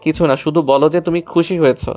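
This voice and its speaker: A man's voice: on the high side, steady tone, fast, darkish tone, faint music behind, close to an everyday voice. A man playing a lovestruck, evasive younger brother, an eager young man in an audio story. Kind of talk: Bengali audio story acting.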